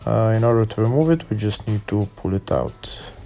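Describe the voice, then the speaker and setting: Low-pitched man, bright voice, hesitant instructions. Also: lively intonation, moderate pace, gravelly, soft background music. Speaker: car repair video host. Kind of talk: step-by-step how-to narration.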